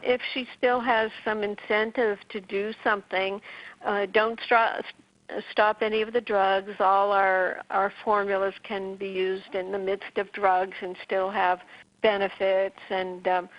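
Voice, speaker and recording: A woman from Newfoundland, Canada, hosting a call-in radio show and giving brisk advice to a caller. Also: medium pitch, little pitch movement, no echo. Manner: brisk, advising, many restarts. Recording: dull lo-fi radio sound.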